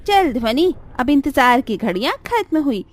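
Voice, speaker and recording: Woman acting as a nosy village woman, high pitch, lively intonation, insistent, pushy, horror cartoon. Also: moderate pace, slight background noise.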